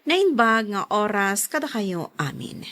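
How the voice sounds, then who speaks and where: A woman hosting a scripted programme, presenting and reading scripture in a measured, expressive manner. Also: medium pitch, no echo, clean studio sound. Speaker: programme host.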